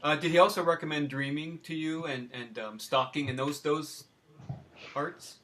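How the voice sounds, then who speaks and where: Thoughtful, halting male interviewer. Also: fairly high pitch, steady tone, bright, forceful tone. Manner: halting, searching for words, thoughtful. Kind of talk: conversational interviewing.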